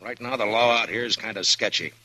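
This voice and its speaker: An actor playing a calm, tough frontier marshal in a 1950s radio drama, dry and clipped. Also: male voice, lowish voice, fast talker, no room sound, poor audio. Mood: steady. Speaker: town marshal. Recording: old radio broadcast.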